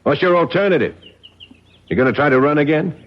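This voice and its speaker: An actor playing an impatient, threatening gangster enforcer with slangy talk in a vintage radio drama. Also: male voice, on the high side, expressive, a little fast, natural voice. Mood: impatient pressure. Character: menacing, impatient male gangster enforcer talking as an old pal. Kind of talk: 1970s radio drama acting. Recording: muffled old radio broadcast.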